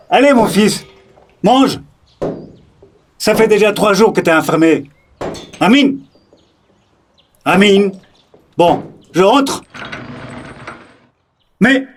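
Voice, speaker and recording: Man playing Marco, high-voiced anxious fatherly friend. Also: animated, slow pace, long pauses, slight background noise. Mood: coaxing, concerned. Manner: exclamatory, pleading. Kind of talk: French fiction podcast acting. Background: music.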